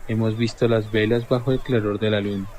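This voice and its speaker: A man's voice: fairly low pitch, little pitch movement, fairly quick, darkish tone, natural voice, low-quality recording. An actor playing a male disciple who reports matter-of-factly in a radio drama. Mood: reporting. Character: male disciple answering the crowd.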